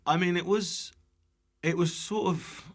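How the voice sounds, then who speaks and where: A man's voice: sits high, steady tone, unhurried. A British man chatting casually as a podcast guest, informal, self-deprecating and happy to swear. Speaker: podcast guest, online cooking content creator. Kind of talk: casual chat.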